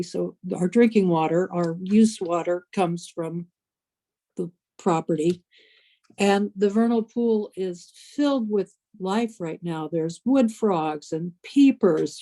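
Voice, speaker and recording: A woman in a group video call, sharing slowly and reflectively. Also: lowish voice, dark tone. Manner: slow, unhurried, reflective. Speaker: workshop participant.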